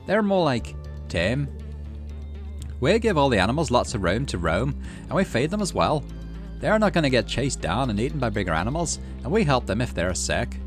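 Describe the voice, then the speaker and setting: A folksy, upbeat farmer dad from a visual novel, acted out by a man, pitched well up with lively swings. He explains things to his kid in a chummy, cheerful way over music. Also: moderate pace, natural voice. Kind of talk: visual novel character read aloud.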